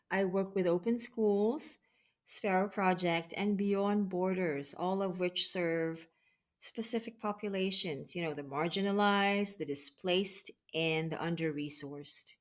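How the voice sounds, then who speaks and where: A woman from the Philippines answering interview questions in an unhurried way, pausing often with fillers and restarts. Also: fairly low pitch. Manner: hesitant, many restarts and fillers. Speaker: guest, mission associate regional director. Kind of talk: conversational interview.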